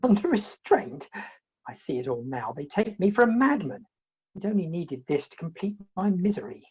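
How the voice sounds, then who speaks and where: A woman's voice: fairly low pitch, big pitch swings, moderate pace, a little mellow. A woman playing an indignant, exasperated comic hero in a Victorian play, wordy and emphatic, in lo-fi video-call audio. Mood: desperate.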